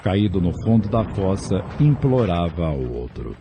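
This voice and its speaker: A man's voice: low-pitched, big pitch swings, measured pace, noisy background. A man with a Brazilian accent giving a scripted, dramatic story narration. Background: music.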